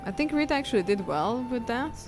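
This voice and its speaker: A woman's voice: on the high side, expressive, quick pace. A woman livestreamer chatting casually while she plays a video game. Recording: game music under the voice. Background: video game music.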